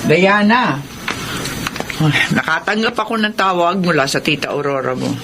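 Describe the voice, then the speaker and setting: An actress playing a caring middle-aged mother in a Filipino radio drama, speaking with gentle concern. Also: on the low side, animated, moderate pace. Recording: noisy background ambience.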